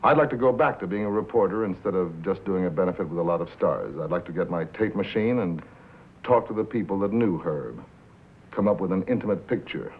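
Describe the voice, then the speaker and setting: An American man acting as an earnest, probing radio reporter in a 1950s film drama, measured and plain-spoken. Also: deep voice, slightly rough, exaggerated voice. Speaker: actor in a feature film. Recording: muffled vintage film soundtrack, faint noise.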